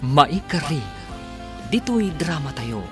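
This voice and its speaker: A man's voice: fairly high pitch, sing-song, takes it slowly. A man with an Ilocano accent announcing and narrating a radio serial in a dramatic, emphatic way. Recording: music bed under the voice.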